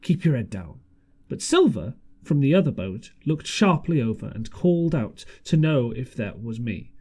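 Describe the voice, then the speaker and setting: Male amateur audiobook reader, highly animated intonation, storybook narration with occasional stumbles. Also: normal speed. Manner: stumbles over words and rereads phrases.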